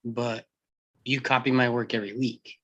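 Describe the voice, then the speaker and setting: A man playing a timid, agreeable teenage boy in a table read, speaking in a hesitant, halting way. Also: mid-range voice, flat delivery, a bit bright, muffled recording, lightly acted. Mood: timid, accommodating. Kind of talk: live table read acting.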